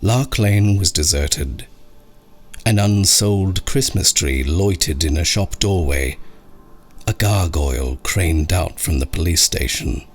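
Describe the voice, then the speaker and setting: A male narrator reads a horror story in a deep, husky voice. His pacing is unhurried and his intonation is lively and dramatic. Music plays beneath the reading. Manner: slow, expressive, dramatic storytelling. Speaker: narrator of horror short stories. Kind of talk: scripted audiobook narration of a scary story. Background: music under the voice.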